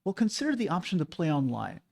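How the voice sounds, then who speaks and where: A man's voice: fairly high pitch, wildly swooping pitch, fast talker, vocal fry, a little mellow. A man hosting his own channel, talking casually and persuasively to the viewer, asking rhetorical questions. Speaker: board game channel host. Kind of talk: casual opinion monologue.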